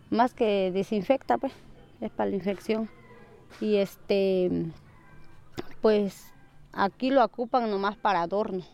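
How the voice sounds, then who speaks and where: A woman talks casually as she answers an interview. Her voice is clear and smooth, at a middle pitch. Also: darkish tone. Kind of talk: casual explanation.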